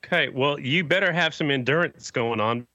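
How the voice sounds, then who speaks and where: A man's voice: on the high side, moderate pace, sharp tone, no room sound. A man hosting a podcast, asking questions in a friendly, chatty way. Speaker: podcast host. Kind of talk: casual interview.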